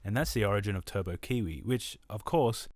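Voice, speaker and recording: A man's voice: lowish voice, brisk, dry recording, studio quality, natural voice. A man playing an earnest amateur radio host and Earth enthusiast, presenting casually in an audio drama.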